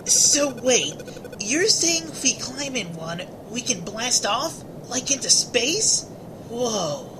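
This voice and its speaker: A woman's voice: on the high side, very animated, slow. A woman voicing an amazed, eager young schoolkid in a game fan dub. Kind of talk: scripted fan dub acting. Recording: noisy recording with crowd ambience.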